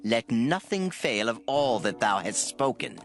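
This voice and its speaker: A woman's voice: a bass voice, measured pace, soft background music, full character voice. A woman voicing a commanding ancient king in a dramatized scripture recording, stately and authoritative. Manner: stately, commanding, very animated intonation. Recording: dry close studio voice.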